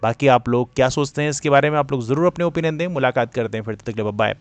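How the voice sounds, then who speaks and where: A man's voice: mid-range voice, racing, dry recording. A man giving an explanatory lecture as a coaching teacher, didactic and mixing in English terms. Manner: didactic, code-switches between Hindi and English. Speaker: exam coaching teacher and presenter. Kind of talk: explanatory lecture on current affairs.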